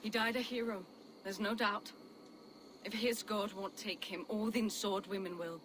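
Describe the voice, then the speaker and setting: A woman's voice: mid-range voice, fairly even intonation, a little slow, noisy background, lightly acted. An actress playing a steadfast medieval woman leader in a video game, earnest and assured as she speaks. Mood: solemn. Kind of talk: video game cutscene acting.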